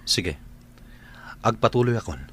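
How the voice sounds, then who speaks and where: A man's voice: on the low side, lively intonation, measured pace, fairly bright tone, slightly rough, heavily acted. An actor playing a troubled young man torn between two loves, earnest and burdened, in a radio drama. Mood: uneasy. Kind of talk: Ilocano radio drama acting. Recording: noisy track with clicks and insects.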